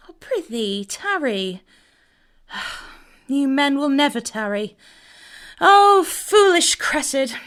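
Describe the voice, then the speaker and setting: A lovesick young woman of Shakespearean radio drama. Her high, expressive voice pleads and sighs, lingering slowly over each phrase. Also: exaggerated voice. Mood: pleading, wistful. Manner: sighing, lingering delivery. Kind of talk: Shakespearean audio drama acting.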